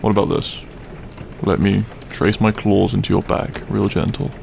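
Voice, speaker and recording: A man playing a grumpy but tender half-dragon roommate in an intimate ASMR roleplay, gruff, sleepy and halting in a soft voice. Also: fairly low pitch, level tone, a little hiss or hum. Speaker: roleplay voice actor. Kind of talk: intimate ASMR audio roleplay, comfort scene.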